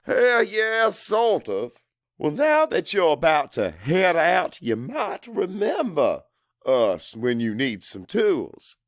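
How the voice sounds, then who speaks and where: A man's voice: a little high, wildly swooping pitch, slow pace, no room sound, studio quality, pitched above the performer's own voice, exaggerated voice. An actor playing a cocky, resentful salesman in a first-person audiobook, with a brash, scornful delivery. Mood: slick, eager. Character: crude, cocky salesman, macho, resentful, foul-mouthed.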